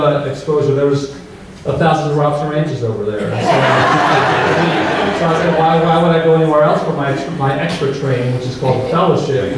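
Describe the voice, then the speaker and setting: A man in his thirties from Albania, a surgeon giving an informal, conversational medical talk and often checking in with listeners. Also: medium pitch. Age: 30s. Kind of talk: informal medical presentation to a live audience. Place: hotel-style meeting room with seated audience. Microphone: handheld mic, picked up from far off by an audience camera. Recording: distant, very echoey room with faint noise.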